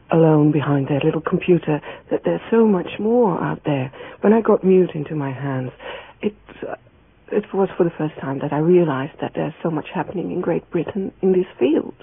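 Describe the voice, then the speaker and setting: A man interviewing two magazine editors, asking halting questions while he searches for words. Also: fairly high pitch, gravelly. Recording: tinny radio line with faint noise.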